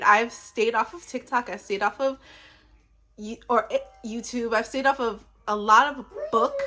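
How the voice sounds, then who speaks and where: Woman, mid-range pitch, book vlogger, casual chat, effusive, giggly, rambling, slightly sick. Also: a bit bright. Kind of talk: casual chatty book talk.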